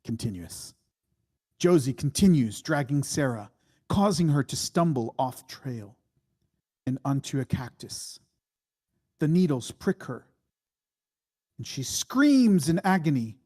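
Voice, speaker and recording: A man's voice: medium pitch, big pitch swings, slow pace, long pauses, dark tone. Guy narrating a movie script, takes his time, suspenseful and dramatic. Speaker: narrator reading stage directions.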